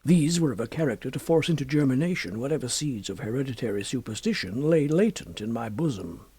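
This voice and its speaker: A man narrating a classic horror story as an audiobook, in a formal, measured, dramatic literary style with deliberate phrasing. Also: mid-range voice, animated, mellow, husky, no room sound.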